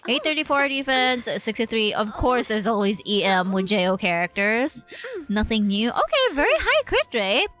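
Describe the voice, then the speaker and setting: A woman's voice: mid-range voice, pushed and bright. A woman livestreaming a game with casual, playful, slangy commentary, chatting to herself and to her viewers.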